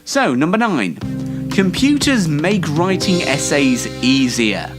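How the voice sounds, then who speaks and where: Young man, British accent, wry comic host, slow measured pace, swooping intonation, music underneath. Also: a little high, very animated. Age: young adult. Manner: wry, sarcastic, mock-formal. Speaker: host of an online entertainment show. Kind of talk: scripted comic monologue to camera.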